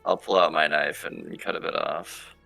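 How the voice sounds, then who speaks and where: A man's voice: extremely low pitch, flat delivery, moderate pace, gravelly, sharp tone, soft background music, rough recording. A man talking casually as a player in a tabletop roleplaying game.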